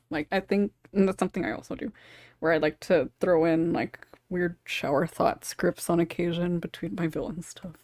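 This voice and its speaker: A woman's voice: on the low side, darkish tone, dry recording. A woman hosting a casual panel chat, giggly and conversational, on a close microphone. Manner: giggly, laughs often while talking. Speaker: podcast host.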